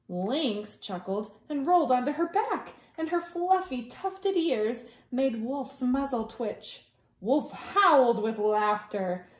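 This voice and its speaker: A woman preschool teacher reading a children's story aloud in a dramatic way, with hushed asides to young listeners. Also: sits high, echoey room.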